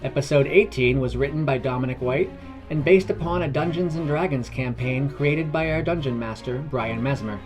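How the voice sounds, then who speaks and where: A man's voice: medium pitch, normal speed, faint music behind. A man reading scripted narration for a fantasy adventure podcast.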